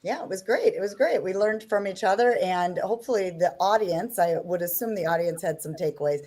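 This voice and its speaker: A woman's voice: fairly low pitch, fairly quick, dark tone. A woman appearing as a podcast guest, speaking with enthusiasm and encouragement in a casual chat.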